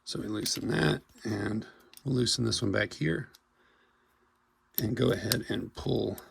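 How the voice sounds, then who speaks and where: A man with a cold, hoarse as he walks through a repair step by step in a casual, halting way with many fillers and restarts. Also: lowish voice, slight background noise. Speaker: paintball marker technician. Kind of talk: hands-on repair tutorial.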